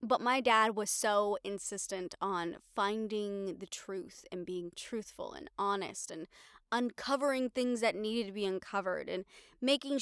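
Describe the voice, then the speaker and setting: Woman playing young reporter girlfriend, sardonic, affectionate, sighing, smooth clear tone, dry close sound, intimate audio drama. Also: mid-range voice, fairly bright tone, no room sound. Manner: sardonic, sighing, casually sweary. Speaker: audio roleplay voice actor. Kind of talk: intimate audio roleplay acting, conversational monologue to the listener.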